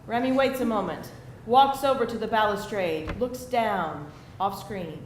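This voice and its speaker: A woman's voice: mid-range voice, measured pace. Woman narrating in a very echoey room. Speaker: stage direction narrator. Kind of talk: scripted narration at a live screenplay reading. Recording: very echoey, distant, noisy live room.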